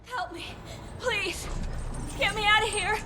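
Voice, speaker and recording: An actress playing a shaken but plucky construction crew leader in a video game, earnest and plain-spoken. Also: female voice, very high-pitched, steady tone, unhurried, background noise. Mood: desperate pleading.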